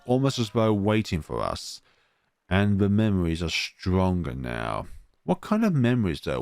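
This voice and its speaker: A man's voice: on the low side, dry recording, studio quality. A man hosting a gaming video, reading a visual novel aloud and adding his own asides. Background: music.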